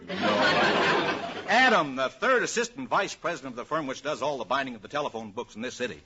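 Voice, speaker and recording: An actor playing a blustering, boastful wheeler-dealer, rambling and self-important, in an old radio comedy. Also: male voice, mid-range voice, lively intonation, fast, heavy background noise, close to an everyday voice. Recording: muffled old radio recording.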